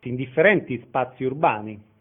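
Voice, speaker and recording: A man's voice: mid-range voice, lively intonation, normal speed. A man working as an interviewer, putting questions in an informal style. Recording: narrow, phone-like sound.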